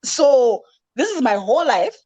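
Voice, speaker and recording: A woman's voice: sits high, very animated, a little slow, darkish tone, dry recording. Confident Malawi-raised woman rapper, candid guest chat, dry recording. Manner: candid, self-assured, talks in long flowing runs. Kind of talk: casual interview chat.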